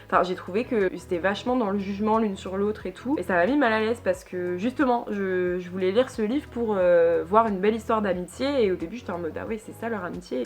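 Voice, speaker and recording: A young woman from northern France giving a casual book review in a chatty, hesitant way, full of fillers and restarts. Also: mid-range voice. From Lille, northern France. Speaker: book vlogger.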